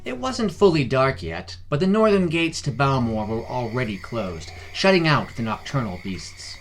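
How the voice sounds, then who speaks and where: A man's voice: mid-range voice, sing-song, moderate pace, faint music behind. A man narrating a scripted fantasy story as a podcast narrator, reading expressively. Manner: reads character dialogue expressively.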